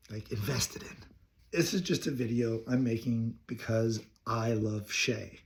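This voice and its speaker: A young man with a hoarse voice from laryngitis, talking casually and reflectively to the camera, rambling and correcting himself as he goes. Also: mid-range voice, a little mellow. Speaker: counseling student. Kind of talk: casual personal talk to camera.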